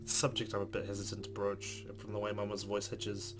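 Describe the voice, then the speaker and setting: A man's voice: fairly low pitch, completely flat delivery, a little fast. A man reading a visual novel aloud as a let's play host, with casual asides. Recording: clear game music behind.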